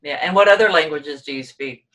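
A middle-aged woman hosting a talk show interview, upbeat, with quick replies, over a video call. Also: deep voice, a bit bright. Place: home room with framed pictures, video call. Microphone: webcam on video call.

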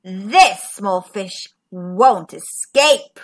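A woman voicing a greedy, sneering male shark from a children's storybook, in a slow, drawn-out, wheedling way. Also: medium pitch, animated, voice pushed down. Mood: gleeful.

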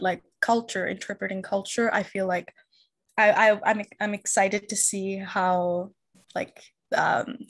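A woman's voice: mid-range voice, gravelly, slightly rough. A young woman from Sri Lanka speaking in an online class discussion, hesitant, with many fillers and restarts. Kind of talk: casual discussion. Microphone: video call.

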